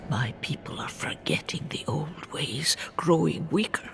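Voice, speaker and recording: An actor playing a brooding druid in a video game, speaking a solemn monologue in a gravelly half-whisper. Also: male voice, fairly high pitch, unhurried. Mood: brooding, sorrowful. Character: brooding male druid mourning his fading people.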